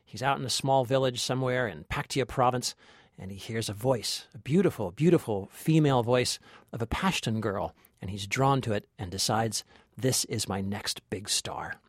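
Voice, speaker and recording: A man's voice: mid-range voice, normal speed, no room sound, studio quality. A man co-hosting a movie podcast as a film critic, talking conversationally about films.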